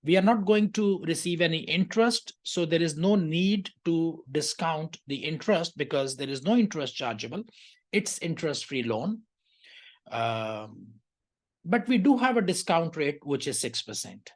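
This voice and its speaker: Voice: mid-range voice, lively intonation, normal speed, darkish tone. An accounting tutor giving an explanatory lecture through a worked exam question, in a didactic way that often checks the listener follows.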